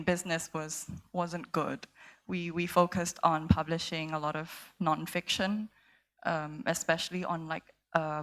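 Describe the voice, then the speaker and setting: A woman's voice: low-pitched, fairly bright tone. A young woman from Thailand, a literary agent speaking on a festival panel stage, casual and full of fillers. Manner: casual, many fillers like "um" and "you know", laughs lightly. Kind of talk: panel discussion.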